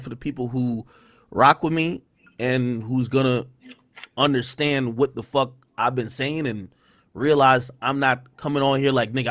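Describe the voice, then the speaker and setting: A man's voice: mid-range voice, fairly bright tone, no echo. Rambling man on a dry livestream mic. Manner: defensive, rambling, heavy slang. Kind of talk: livestream rant.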